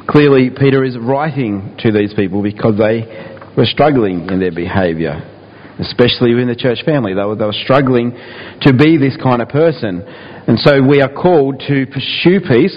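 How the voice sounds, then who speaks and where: A man preaching a sermon to his church, his voice slightly croaky, talking in a relaxed way with frequent ums and restarts. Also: mid-range voice. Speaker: pastor. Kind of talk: sermon with scripture reading. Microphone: mic and speaker system in a hall. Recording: dull sound with long hall reverb.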